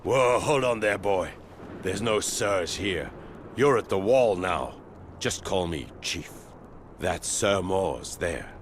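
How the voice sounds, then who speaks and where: An actor playing a gruff, joking chief of a fantasy watch patrol in a video game, teasing and sardonic. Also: male voice, low voice, animated, slow, a little hiss or hum. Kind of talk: video game cutscene acting.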